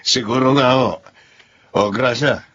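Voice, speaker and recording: An actor with a Filipino accent playing a steady, patient married working man in a radio drama. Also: medium pitch, unhurried. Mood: easygoing. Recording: muffled audio with background noise.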